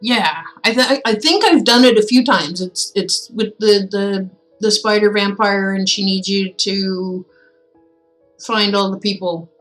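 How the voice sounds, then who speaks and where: A woman game streamer with a mid-pitched voice gives casual commentary. She is chatty, teasing and irreverent, with faint game music behind her. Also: unhurried, a bit bright, poor audio.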